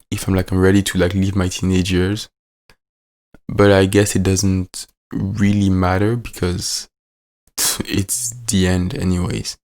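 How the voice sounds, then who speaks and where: Teen guy on the edge of twenty, low voice, French accent, rambly and filler-heavy vlog delivery. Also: a little mellow. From French-speaking. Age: late teens. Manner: rambling, many fillers and restarts. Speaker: vlogger. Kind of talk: casual reflective vlog.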